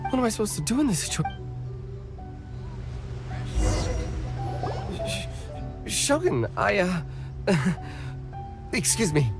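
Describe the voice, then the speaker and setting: Brief: nervous stall vendor, apologetic and earnest, mid pitch with lively swings. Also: measured pace. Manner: stammering, apologetic, then earnestly explaining. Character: nervous snack-stall vendor, eager and flustered. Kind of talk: game cutscene voice acting. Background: background music.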